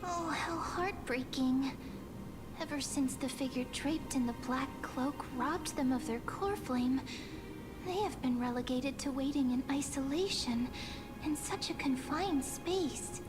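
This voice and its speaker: A woman's voice: sits high, a little slow. A woman playing a small, cute, girlish fairy creature in a video game, sweet and earnest. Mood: gentle, sympathetic. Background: background music.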